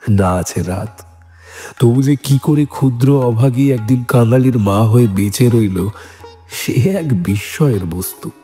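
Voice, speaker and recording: A man narrates a literary story in a fairly low, warm and dark-toned voice. His delivery is measured and scripted. Light music runs underneath. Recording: music bed behind the voice.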